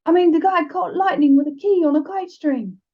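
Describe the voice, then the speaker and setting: A woman's voice: very high voice, brisk, highs cut off, voice pushed up. A woman voicing a chatty young wizard schoolboy in a storybook reading, offhand and rambling.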